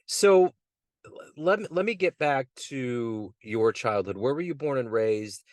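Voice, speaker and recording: A man hosts an interview, putting friendly and playful questions to his guest. His voice has a middle pitch, and the sound is dry with no room echo. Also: animated, unhurried. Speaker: interview host.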